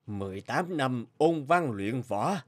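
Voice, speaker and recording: An actor playing an aging, fatherly king in an animated fairy tale, speaking in a solemn, measured, regal way. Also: mid-range voice. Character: stately aging king, deliberate and fatherly to his sons. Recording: loud music under the voice.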